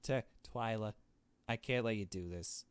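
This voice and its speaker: A man's voice: on the low side, unhurried, clear, resonant tone, dry recording, natural voice. A man voicing an anxious young woman heroine in a visual novel, hesitant and earnest, with a distressed edge. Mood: shaky defiance.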